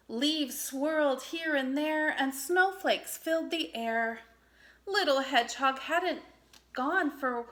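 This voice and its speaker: Lady reading a picture book to kids, slow and bright, very expressive. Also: sits high. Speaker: storybook reader. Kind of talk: expressive children's story narration.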